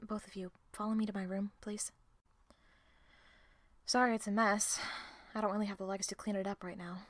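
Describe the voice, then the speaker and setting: A woman playing a distressed, guilt-ridden young woman in an audio drama, speaking haltingly and emotionally. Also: mid-range voice, little pitch movement, dry recording, lightly acted. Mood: quiet, earnest, guilty. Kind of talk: audio roleplay drama acting.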